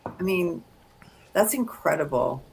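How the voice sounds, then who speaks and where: Woman cohost w a deep low voice, chill chat, lots of yeah and right. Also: expressive, a little slow, gravelly, crowd noise, poor audio. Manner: encouraging short replies. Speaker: podcast co-host. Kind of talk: casual chat.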